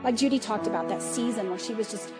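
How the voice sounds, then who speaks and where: A woman in her forties giving a casual, conversational sermon at a church service, animated, often laughing and restarting her sentences. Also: mid-range voice, quick pace, loud music behind the voice. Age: 40s. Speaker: preacher.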